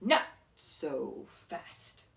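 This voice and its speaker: A woman's voice: drawn-out words, fairly bright tone. Lady doing a nasty witchy lady teacher from a storybook, snapping orders, echoey room, bit far from mic. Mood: menacing hiss. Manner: snapping, hissing commands. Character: strict, mean, unpleasant substitute woman teacher, witchlike.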